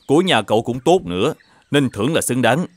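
A man voicing a kindly, generous older villager in an animated fairy tale, with an easygoing, familiar manner. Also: mid-range voice, brisk, no room sound, natural voice. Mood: generous, friendly. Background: background music.

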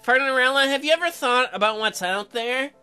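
A man voicing an earnest, halting young farmer in love in a visual novel. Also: very high-pitched, pushed and bright, smooth, faint music behind, full character voice. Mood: dreamy. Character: earnest young farm man, dreamy lover.

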